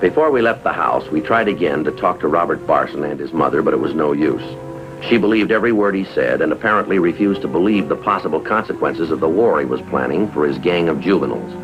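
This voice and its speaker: An actor playing a stern, no-nonsense police sergeant in 1950s TV crime drama style, clipped and authoritative. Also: male voice, rumbling low, lively intonation, fast talker, over loud music. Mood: matter-of-fact narration. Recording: dull lo-fi 1950s TV soundtrack.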